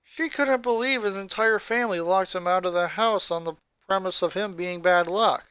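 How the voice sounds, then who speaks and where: A man reading a scripted story aloud as an expressive narrator who sometimes stumbles over words. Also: sits high, normal speed, clear, resonant tone, no echo. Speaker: fan fiction reader.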